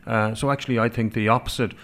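A man's voice: on the low side, fairly even intonation, a bit bright. Earnest Irish man, native Irish speaker. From Connemara, Ireland. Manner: earnest, halting with many fillers, laughs easily. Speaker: panel guest. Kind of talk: radio panel discussion.

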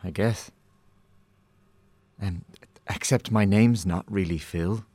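A man's voice: deep voice, slow, dark tone, slightly rough, no room sound, heavily acted. A man acting in a darkly comic radio drama as an earnest, hapless show contestant with a hesitant, deferential manner. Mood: meek, uncertain.

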